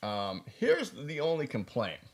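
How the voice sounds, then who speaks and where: A man's voice: mid-range voice, wildly swooping pitch, unhurried. A man from the United States talking casually and unscripted as a product reviewer, in a folksy, conversational way.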